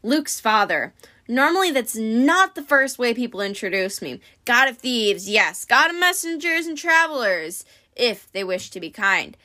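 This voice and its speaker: Brief: female narrator as sly, breezy middle-aged messenger god for an audiobook, bright and wry. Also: fairly high pitch, very animated, measured pace, exaggerated voice.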